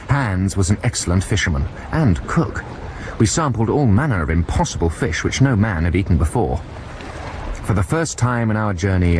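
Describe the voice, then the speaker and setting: An actor playing an earnest, excitable young nephew telling his adventure in a radio drama. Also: low voice. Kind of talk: radio drama narration and dialogue. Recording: noisy radio broadcast, sea effects.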